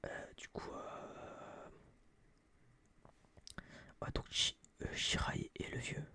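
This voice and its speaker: A young man whispering close to a binaural microphone in a casual, rambling, blunt ASMR review style, with a breathy, unvoiced voice. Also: drawn-out words. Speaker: ASMR channel host reviewing a film.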